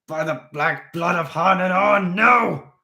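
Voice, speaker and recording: A man reading aloud, voicing a stern old male vampire who speaks in a formal, grave, measured way. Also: lowish voice, natural voice. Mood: defiant.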